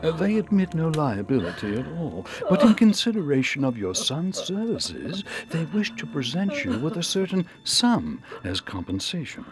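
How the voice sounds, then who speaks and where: A man's voice: on the high side, huge pitch swings, moderate pace, hardly any pauses, over music. A man playing an uneasy, apologetic company messenger in an audio drama, formal, hesitant and deferential. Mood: formal, pained.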